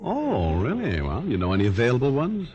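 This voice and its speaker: Over music in a vintage radio broadcast, an American private eye, wry and flirtatious. His delivery swings widely in pitch, with clipped, breezy quips in the classic 1950s detective style. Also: wildly swooping pitch, fairly quick, muffled recording. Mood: teasing.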